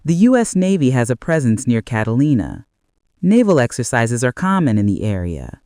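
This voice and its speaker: A man narrating a scripted bedtime mystery story in a measured, deliberate way with dramatic pauses. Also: mid-range voice, huge pitch swings, dark tone, no room sound, clean studio sound.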